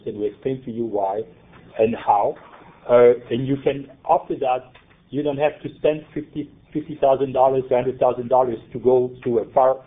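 Man, from Belgium, native French speaker, conference talk, halting with fillers, thin phone-like sound. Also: medium pitch. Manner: halting, many fillers and restarts. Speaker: conference presenter, center principal investigator. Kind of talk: conference presentation. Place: conference room. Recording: tinny phone-like conference recording.

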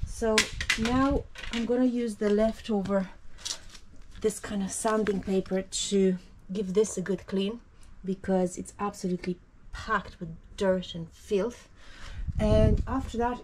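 A woman's voice: medium pitch, fairly even intonation, a little slow, background noise. Chatty woman explaining her renovation work outdoors. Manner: chatty, explains step by step with fillers. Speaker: vlogger. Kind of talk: casual home-renovation vlog. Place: outdoors at a rural cottage. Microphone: action camera held close.

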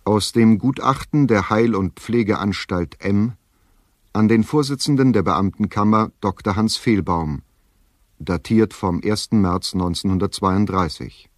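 A man's voice: lowish voice, a little slow, dry recording, studio quality. A man narrating in an audio drama, formally announcing a document in a scripted reading.

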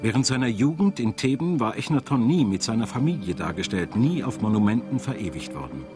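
Expressive male documentary narrator. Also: medium pitch, brisk. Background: background music.

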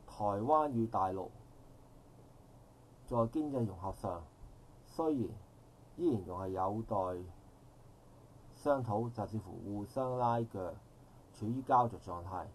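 A man's voice: lowish voice, slight background noise. A middle-aged man with a Hong Kong Cantonese accent giving a long, rambling public comment at an outdoor park forum, with formal phrasing and frequent self-corrections. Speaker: audience member speaking at a public forum.

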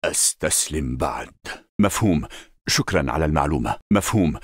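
An actor playing a vengeful, honorable desert warrior in a fighting game, with solemn, intense, formal delivery. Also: male voice, extremely low pitch, lively intonation, a little fast, slightly rough, no room sound, clean studio sound, heavily acted. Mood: grim, determined. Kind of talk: video game battle lines and fight efforts.

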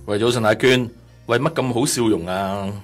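A man's voice: lowish voice, moderate pace, natural voice. Guy acting a tightfisted middle-aged hong kong cantonese boss, curt and snippy, radio play with music under it. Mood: brusque. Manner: brusque, dismissive, stammers when frightened. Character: stingy, sharp-tongued middle-aged male boss. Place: small padded radio studio.

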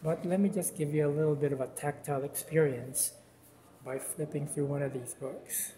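A man from the Philippines, a Tagalog speaker, chats his way through a gallery tour in a slightly raised, easygoing voice. He rambles along with plenty of "sort of, like" fillers. The sound comes from a handheld camera mic with clear background noise and small clicks. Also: on the high side, steady tone, moderate pace, mellow, low-quality recording. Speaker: art vlog host. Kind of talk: walking gallery tour vlog. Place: outdoor campus plaza, then indoor gallery.

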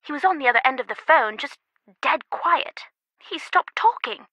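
Casting: female game character, loyal secretive confidante, earnest and measured, fairly high crisp bright voice, dry close sound. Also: lively intonation, no echo. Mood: serious, confiding. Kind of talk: video game voice acting.

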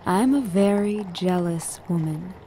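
Mellow, menacing, jealous wife. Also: female voice, fairly low pitch, expressive, a little slow, slight background noise. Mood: possessive, threatening. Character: menacing, possessive, jealous centuries-old supernatural wife. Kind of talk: retro 1940s radio drama acting.

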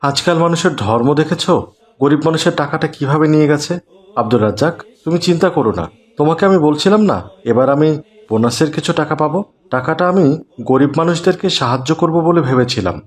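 A man playing a kind, generous gentleman in a cartoon, speaking formally and reassuringly. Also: a little high, fast, natural voice. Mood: caring. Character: kind, generous well-off gentleman helping the poor.

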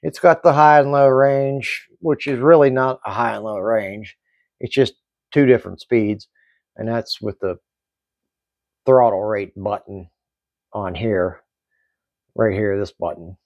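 A middle-aged man giving a casual hands-on hobby review in halting, explanatory short phrases. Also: on the low side, fairly even intonation, darkish tone, dry recording, highs cut off. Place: small indoor hobby workbench.

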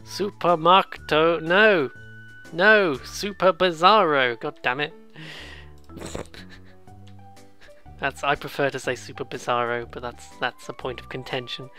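A bright-voiced let's play host at a middling pitch talks casually through a game. The commentary is rambling, jokey and self-deprecating, mixed with lines read off the screen, with some game music underneath. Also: fairly even intonation, slow pace, long pauses.

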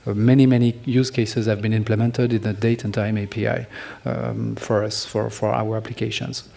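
Middle-aged french guy presenting code on stage, mellow voice, lots of uh and restarts. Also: fairly low pitch, level tone, creaky. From France. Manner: halting, many restarts and fillers, joking asides. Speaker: conference speaker. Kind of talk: technical conference talk. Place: large conference hall stage.

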